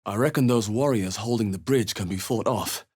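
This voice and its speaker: A male fantasy game hero on the road. He is wary and level-headed, speaking in short scripted lines. His delivery is measured and earnest, with a theatrical edge. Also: fairly low pitch, darkish tone, husky. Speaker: video game player character. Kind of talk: scripted game voice lines, short exploration remarks and spell chants.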